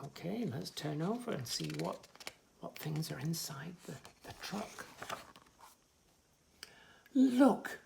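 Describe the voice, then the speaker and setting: Animated male children's storyteller, creaky voice. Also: fairly high pitch, a little slow, background noise. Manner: chatty asides to young listeners. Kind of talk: animated picture-book read-aloud.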